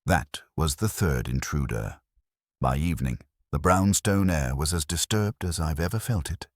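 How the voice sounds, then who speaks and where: A man's voice: a bass voice, moderate pace, dry recording, clean studio sound. A man narrating a scripted first-person detective story in a wry, hard-boiled manner. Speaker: audiobook narrator.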